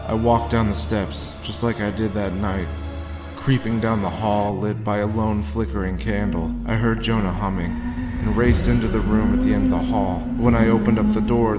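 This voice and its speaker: An actor playing a grim, haunted war veteran, telling his story deliberately in a noir audio drama. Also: on the low side, lightly acted. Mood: somber, haunted. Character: haunted hard-boiled male war veteran recounting his grim past.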